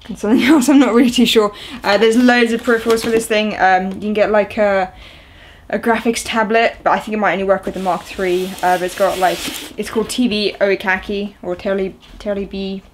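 A young woman talks casually to a camera from a small room at home, her voice sitting at an everyday middle pitch. She rambles through an unboxing with plenty of ums and uhs, and a faint hiss sits under the recording. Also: steady tone, brisk. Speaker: video host. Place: small indoor room at home, seated on a sofa.

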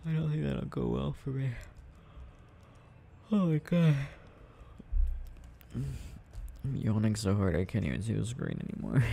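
Man as drowsy gamer boyfriend: tender, hesitant murmur, mellow and very gravelly, intimate ASMR delivery. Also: fairly low pitch, a little hiss or hum. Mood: sleepy, tender. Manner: murmuring, dropping into whispers, hesitant self-talk. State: sleepy. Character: sleepy, tender, sensitive boyfriend rambling while gaming. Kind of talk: soft intimate ASMR roleplay, unhurried rambling.

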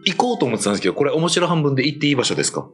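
A middle-aged man chatting casually as a guest, reacting excitably and asking questions. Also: a little high, rapid-fire, breathy edge. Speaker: actor, talk show guest. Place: small meeting room, indoors. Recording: music playing behind the voice. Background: background music.

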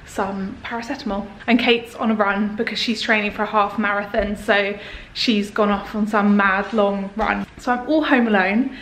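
A woman's voice: medium pitch, fairly even intonation, normal speed, few pauses, a bit bright, slight background noise, rough recording. A young woman in her twenties, chatting casually as she films a tour of a rented house with a handheld camera. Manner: chatty, upbeat, rambling with fillers. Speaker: vlogger. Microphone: handheld camera at arm's length.

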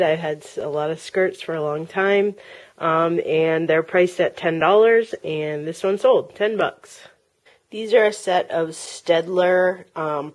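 A woman with a slightly low voice talks slowly through a casual show-and-tell of things she has resold. She fills her pauses with ums and uhs, and she sounds relaxed and homey, speaking from behind the camera at a table. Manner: chatty, with frequent ums and uhs. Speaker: online reseller. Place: home, tabletop filming. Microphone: handheld camera close-up, speaker off-camera.

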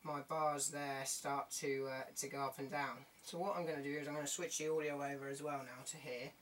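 A man's voice: mid-range voice, little pitch movement, a little fast, fairly bright tone. A young man giving a casual tech demo, recorded on a camera mic in a small bedroom. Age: young adult. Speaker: video tutorial host. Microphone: camera across the room. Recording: distant camera mic with room noise.

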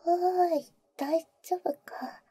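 A female vampire acted by a woman whispers slowly and very softly, right up against a dry microphone. Her voice is high and very mellow, with a teasing, tender big-sister manner suited to intimate roleplay ASMR. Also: steady tone. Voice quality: soft, breathy, mostly whispered. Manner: slow, tender, teasing, boyish casual phrasing. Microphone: close, dry ASMR microphone.

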